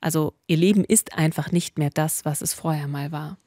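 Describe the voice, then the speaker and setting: Low-voiced German woman hosting a podcast. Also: fairly even intonation, normal speed, dark tone, no room sound, clean studio sound. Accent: Standard German. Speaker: podcast co-host. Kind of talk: true-crime podcast hosting. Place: small indoor recording studio. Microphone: close studio microphone on boom arm. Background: music.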